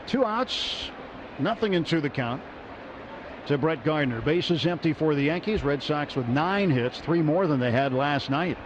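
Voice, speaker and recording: A man calling baseball play-by-play on the radio, brisk and lifting on big plays. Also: on the high side, expressive. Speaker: play-by-play announcer. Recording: muffled radio broadcast with noise.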